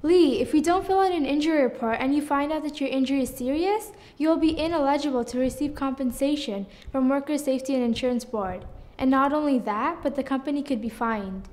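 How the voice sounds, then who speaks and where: A woman's voice: high voice, fairly quick, a little mellow, lightly acted. A young woman playing a firm, caring floor manager in an amateur scripted drama. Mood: matter-of-fact. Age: teenager or young adult.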